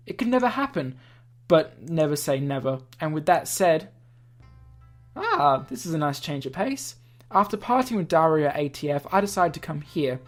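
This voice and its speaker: A woman doing a casual let's play, reading visual novel text aloud and breaking in with chatty comments of her own. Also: low voice. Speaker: gaming video host. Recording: game music behind the voice. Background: video game music.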